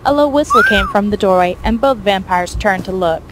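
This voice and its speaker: A woman's voice: medium pitch, wildly swooping pitch, moderate pace, heavy background noise. A woman reading scripted fiction as the narrator of an audio story.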